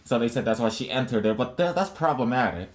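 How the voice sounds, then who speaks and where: A man's voice: medium pitch, expressive, quick pace. A man co-hosting a casual podcast discussion, rambling with many restarts and fillers. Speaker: podcast co-host.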